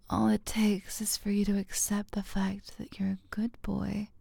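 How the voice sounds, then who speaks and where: Breathy, slow-whispering woman. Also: mid-range voice, dry recording. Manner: soft, coaxing, close whisper; slow, drawn-out phrasing with hums. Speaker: audio roleplay performer. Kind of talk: whispered intimate audio roleplay.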